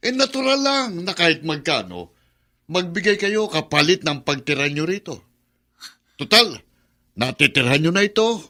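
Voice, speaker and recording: A man playing a greedy, domineering middle-aged brother-in-law with a Filipino accent, forceful and sneering in an audio melodrama. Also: on the high side, very animated, normal speed. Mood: pushy demanding. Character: greedy, bullying brother-in-law, domineering older male villain. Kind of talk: melodramatic radio drama acting.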